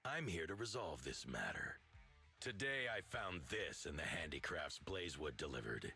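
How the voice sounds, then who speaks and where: Imposing game overlord, very low forceful voice, stern, dignified, commanding, formal phrasing. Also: male voice, very animated, moderate pace, soft background music, exaggerated voice. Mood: measured, authoritative. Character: imposing male overlord leader of a motor league, stern, dignified.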